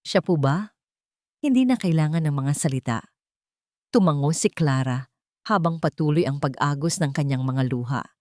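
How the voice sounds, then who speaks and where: A woman's voice: low voice, lively intonation, moderate pace, a little mellow, dry recording, studio quality. A woman narrating a dramatic romance story from a script.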